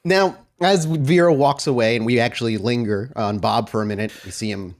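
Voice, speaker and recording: A man's voice: mid-range voice, fairly quick. A man narrating a tabletop roleplaying game as game master, with descriptive, cinematic scene-setting.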